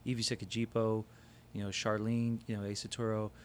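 A man's voice: on the low side, flat delivery, fairly quick, slight background noise. A man co-hosting a conversational discussion who listens closely and affirms often. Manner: affirming listener, frequent agreement. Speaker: podcast co-host.

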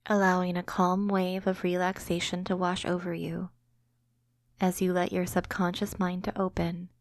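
Calm woman guiding meditation, evenly pitched. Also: dry recording, clean studio sound. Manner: gentle, calming, measured delivery. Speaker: host and narrator of a guided meditation podcast. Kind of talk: scripted guided imagery meditation narration.

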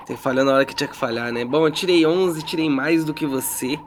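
A man's voice: fairly high pitch, fast, a little hiss or hum. A Brazilian man narrating a tabletop game as game master in a casual, descriptive way, checking in with his players.

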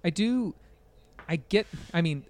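A man with a slightly higher-than-average voice chats casually as a podcast co-host. His delivery is halting, often circling back to rephrase a thought as he forms it. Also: lively intonation, darkish tone. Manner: halting, repeats and rephrases as he thinks. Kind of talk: casual chat about video games.

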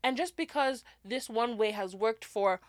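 A woman's voice: a little high, measured pace, dry recording, studio quality. Young woman giving upbeat podcast advice. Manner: animated, laughs often, gives advice in numbered points. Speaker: podcast co-host. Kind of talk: casual chat.

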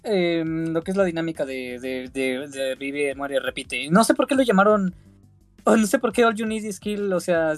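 Halting man, casual chat. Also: on the high side. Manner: halting, hesitant. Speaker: podcast co-host.

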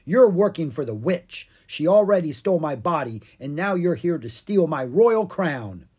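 A grumpy pumpkin-head king from a children's storybook, acted out by a narrator, pitched up and swooping with every boast. He is huffy, theatrical and full of his own importance, with a husky edge to the voice. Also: sing-song, normal speed, a little mellow. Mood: haughty, bitter. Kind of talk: animated children's storybook character reading.

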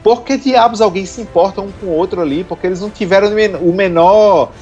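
Brief: blunt, exasperated Brazilian co-host, mid pitch, faint music under the talk. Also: quick pace, a little mellow, highs cut off. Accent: Brazilian Portuguese. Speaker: podcast co-host. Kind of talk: casual podcast chat.

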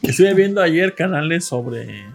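A man co-hosting a podcast with a Mexican Spanish accent, telling rambling stories with many pauses and fillers. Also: a little high, lively intonation, vocal fry, soft background music. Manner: rambling storyteller, hesitant fillers. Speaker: podcast co-host. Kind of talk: casual chat.

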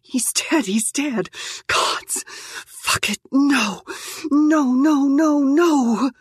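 A woman's voice: sits high, very slow, no echo, pitched above the performer's own voice. A woman voicing a tough young cyborg mercenary, a hardened fighter who screams profanities in grief and rage. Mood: grief-stricken.

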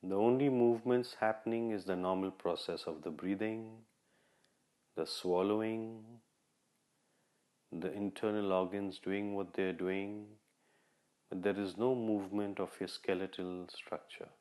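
A man leading a guided meditation in short, repeated instructional phrases, measured and with long pauses. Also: fairly low pitch, fairly even intonation, warm tone. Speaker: meditation guide, podcast host.

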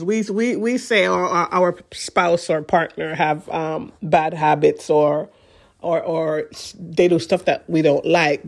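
A blogger with a congested nose, so every word comes out nasal. They read a written passage aloud at a measured pace. When they explain it in their own words, they stall and repeat themselves. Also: mid-range voice. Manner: halting and repetitive when speaking off the page. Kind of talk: reading a relationship post aloud, then explaining it.

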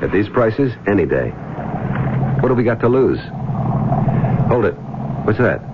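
An actor playing an easygoing, tough salvage diver in an old-time radio drama, with a dry, laconic delivery. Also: male voice, fairly low pitch, very animated, measured pace, heavy background noise. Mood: wry, game. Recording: tinny old radio broadcast with music.

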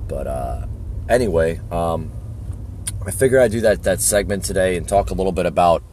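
A hoarse man hosting a podcast, talking casually with a halting manner and many fillers. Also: sits low, a little mellow, slight background noise. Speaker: podcast host. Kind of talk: casual talk.

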